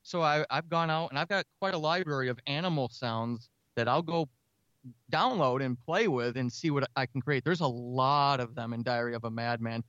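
A talkative, energetic man speaking casually as a guest in an interview about his creative work. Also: medium pitch, normal speed, smooth, no room sound, muffled recording. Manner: energetic, talkative, self-described extrovert. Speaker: audio drama writer and podcaster, interview guest.

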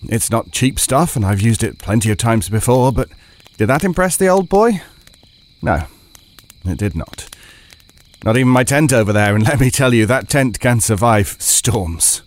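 A man's voice: mid-range voice, expressive, moderate pace, close to an everyday voice. A man playing a proud, chatty camper telling a first-person fireside story. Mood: confiding, wry.

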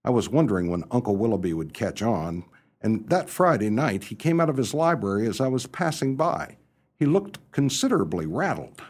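A man playing an excitable young upper-class English gentleman who narrates a radio comedy in breezy, exclamatory slang. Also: mid-range voice, moderate pace, slightly rough. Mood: breezy narrating. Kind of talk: first-person radio comedy narration and dialogue.

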